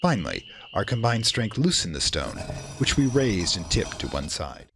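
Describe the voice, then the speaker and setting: A man narrating a horror radio play in a grave, theatrical, deliberate manner. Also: fairly low pitch, sing-song, a bit bright, slight background noise. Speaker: narrator and performer.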